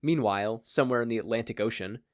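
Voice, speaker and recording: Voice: mid-range voice, fast, no echo. Close, dry fan fiction narrator. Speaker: podfic narrator.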